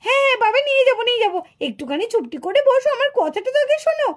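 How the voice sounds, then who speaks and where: A woman voicing a soothing, indulgent village aunt in a children's puppet cartoon, coaxing and gently chiding as she talks. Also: extremely high pitch, very animated, very fast.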